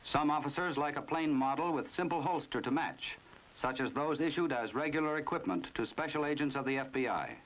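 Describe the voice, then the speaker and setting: A dull, dated 1950s film soundtrack carries an American man's narration. His voice sits a little high for a man, and he delivers formal instruction with authority, in the scripted style of a mid-century training film. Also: fairly quick, a bit bright.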